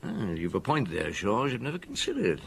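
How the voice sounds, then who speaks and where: A man's voice: medium pitch, huge pitch swings, moderate pace, vocal fry, slight background noise. An actor playing a wry French police detective in his fifties in an audio drama, with a dry, musing manner. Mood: wry reminiscing. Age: 50s. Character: wry fifty-year-old French police detective on holiday. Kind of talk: audio drama dialogue and storytelling.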